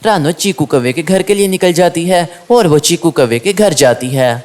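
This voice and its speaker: Mid-pitched storybook narrator of unclear sex. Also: expressive, normal speed, a little mellow. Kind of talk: scripted children's story narration.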